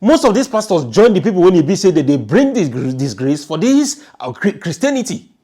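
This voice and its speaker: A man's voice: high voice, sing-song, a little fast, mellow. A man with a Nigerian accent giving informal, emphatic storytelling commentary, often repeating his phrases. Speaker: online news commentator.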